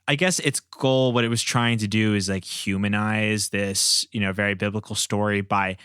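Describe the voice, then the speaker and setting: A man's voice: lowish voice, steady tone, normal speed, a bit bright, clean tone, no room sound, clean studio sound. A man hosting a podcast in a conversational, discursive style. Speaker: podcast host.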